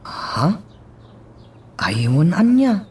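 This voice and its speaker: A man's voice: high-pitched, very animated, very slow, darkish tone, breathy edge, exaggerated voice. A man with an Ilocano accent playing a puzzled, questioning character in a radio drama.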